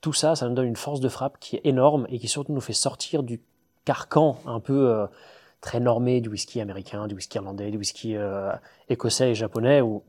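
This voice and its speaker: A man of ordinary pitch talks about his trade in a relaxed interview. He gets enthusiastic and explains at length, but hesitates often, filling gaps with "euh" and restarting phrases. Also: a little fast. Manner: hesitant, many fillers and restarts. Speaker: distiller, guest. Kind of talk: casual interview talk.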